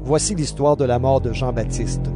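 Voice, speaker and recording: A man giving scripted narration for an audio Bible drama in a measured storytelling manner. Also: mid-range voice. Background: background music.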